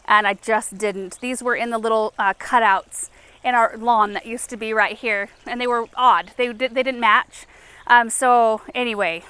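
A woman's voice: fairly high pitch, sharp tone, clean tone. A woman in her thirties hosting a casual walking garden tour outdoors, chatty and enthusiastic as she points out plants. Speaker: garden show host.

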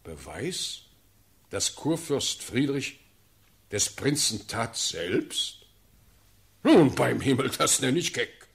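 An actor playing an imperious, quick-tempered German ruler in a classical verse radio play, with declamatory, theatrical speech. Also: male voice, mid-range voice, sing-song, gravelly, heavily acted. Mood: indignant. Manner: declamatory, theatrical, sharp swings in force. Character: imperious, quick-tempered German prince-elector, commanding and sardonic.

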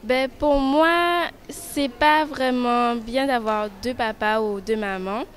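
A woman's voice: on the high side, slow pace, slight background noise. A teenage schoolgirl giving brief, plain answers in an interview on a handheld mic. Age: teens.

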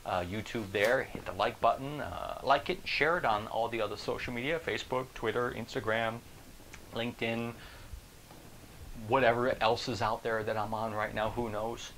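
A middle-aged American man speaks like a keynote motivator, casual and emphatic, tossing out questions to the listener. His voice has a gravelly creak, with a faint bed of room noise behind it. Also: mid-range voice, normal speed, rough recording. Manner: animated, conversational, emphatic, rhetorical questions. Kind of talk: casual motivational video talk to camera. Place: small indoor room. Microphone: lapel.

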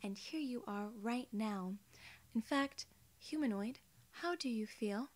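A soft-spoken woman in her twenties doing an ASMR role-play as a polite alien gatekeeper, with measured, formal phrasing, close to the microphone. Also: on the high side. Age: 20s. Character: young, polite, friendly female alien gatekeeper. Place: small indoor room.

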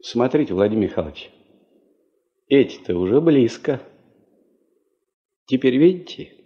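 A man's voice: medium pitch, very animated, a little slow, dark tone, husky. An actor playing a chatty, wry working man, once a sailor and prospector, with folksy mock-grandiose joking in a 1970s Soviet radio play. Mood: brisk, teasing. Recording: dull 1970s radio drama tape.

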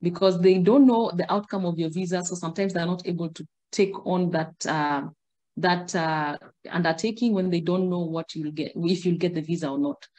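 A middle-aged Kenyan woman on a video call, explaining in a measured way and gesturing as she talks. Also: fairly low pitch, steady tone, darkish tone. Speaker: guest, migration agent. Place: indoor room.

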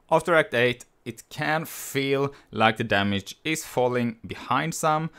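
A man with a Swedish accent, hosting a gaming channel and explaining in a voice-over how he levelled a character, relaxed and plainly worded. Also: mid-range voice, clear, resonant tone. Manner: relaxed, explanatory, slightly non-native phrasing. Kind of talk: game guide voice-over. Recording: voice-over with faint music behind.

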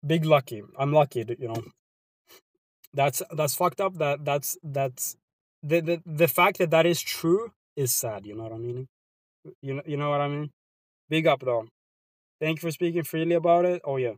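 A man's voice: fairly high pitch, normal speed, no room sound. A man from Sweden with a Swedish accent, chatting casually with viewers on a live stream from inside his car, laid-back and slangy.

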